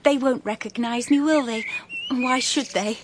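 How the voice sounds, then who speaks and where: A woman's voice: fairly high pitch, a little slow, background noise, lightly acted. Actress as young woman, eighteen, anxious, self-doubting, radio drama. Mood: anxious, doubtful.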